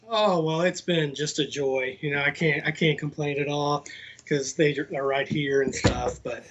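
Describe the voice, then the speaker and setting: High-pitched man chatting, muffled sound. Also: fairly even intonation, a little slow, noisy background. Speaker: guest, web designer and father. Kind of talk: casual chat.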